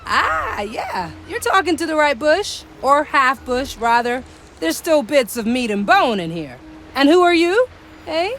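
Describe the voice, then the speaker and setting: An actress playing a quirky, cackling soul botanist who is half bush, cheeky and drawling in a video game. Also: female voice, high-pitched, big pitch swings, a little slow, a bit bright, a little hiss or hum, full character voice. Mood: wry, nosy. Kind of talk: video game character acting.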